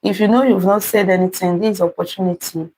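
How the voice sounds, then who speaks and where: A woman's voice: medium pitch, steady tone, a little mellow, poor audio. A woman with a Nigerian accent hosting an interactive math puzzle live stream, reading out comments and greeting viewers by name. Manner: unhurried, repeats greetings and thanks to viewers by name.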